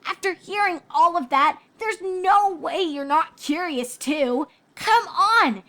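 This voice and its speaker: Bold little cartoon pony girl, really high and sharp, curious and pushy. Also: lively intonation, takes it slowly, heavily acted. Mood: insistent, curious. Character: bold, impatient, curious young filly pony.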